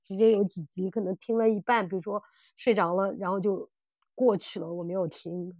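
A woman's voice: mid-range voice, fairly even intonation, a little fast, a little mellow, no echo, highs cut off. A woman co-hosting a podcast in a casual discussion, circling back and repeating phrases as she works out her point. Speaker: podcast co-host. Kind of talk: casual discussion of audio dramas.

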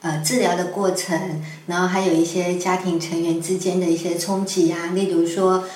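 Hesitant lowish woman in an echoey room. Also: flat delivery, moderate pace, a little mellow. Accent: Taiwanese Mandarin. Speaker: guest scriptwriter. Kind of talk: conversational interview explanation. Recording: echoey room with faint noise.

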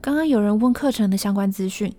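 A woman playing an observant, considerate young female office worker in a radio drama, in a measured, matter-of-fact way. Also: mid-range voice, warm tone, no room sound, close to an everyday voice. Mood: curious, concerned.

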